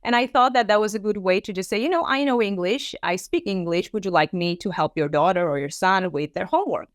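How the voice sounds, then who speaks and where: A woman's voice: mid-range voice, brisk, dry recording, studio quality. Armenian-born woman presenting, conversational. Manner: conversational, animated, with frequent fillers and acted quotes. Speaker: teacher trainer. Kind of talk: online presentation.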